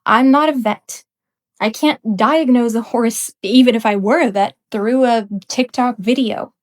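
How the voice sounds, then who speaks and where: A woman's voice: on the high side, a little slow. Emphatic young woman ranting, slightly congested. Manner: emphatic and blunt, with occasional laughs. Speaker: podcast host. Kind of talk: unscripted ranting commentary.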